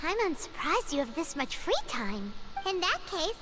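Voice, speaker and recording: Very high squeaky little companion. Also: female voice, sing-song, normal speed. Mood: chirpy, teasing. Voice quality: squeaky, childlike. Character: small childlike floating companion, female, chirpy and curious. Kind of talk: video game voice acting. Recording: game audio under heavy noise.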